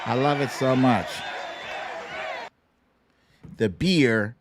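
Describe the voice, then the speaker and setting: A man hosting a livestream, giving casual, slangy and animated commentary on clips, with some swearing. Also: mid-range voice, drawn-out words, heavy background noise. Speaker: livestream show host.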